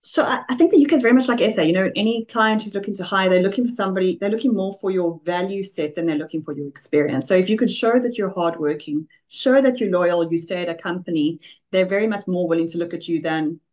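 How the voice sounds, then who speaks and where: A middle-aged South African woman answering interview questions, briskly listing examples. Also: on the low side, darkish tone. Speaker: guest, offshoring business founder. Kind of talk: conversational interview.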